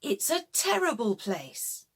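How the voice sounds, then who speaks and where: A woman puts on a stubborn runaway man from a children's storybook. The voice is husky and slow. He speaks in plain, short declarations. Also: on the high side, wildly swooping pitch, exaggerated voice. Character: Jonah, stubborn then remorseful runaway man.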